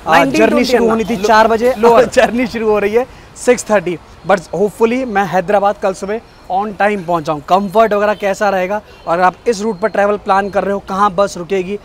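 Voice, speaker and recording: Young guy vlogging a bus trip, kinda high voice, chatty, phone at arm's length, a bit of traffic noise behind. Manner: chatty, keeps pointing things out to viewers, mixes in English phrases. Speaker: travel vlogger. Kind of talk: casual travel vlog to camera. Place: bus depot outdoors, then inside a sleeper bus. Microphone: phone or action camera at arm's length.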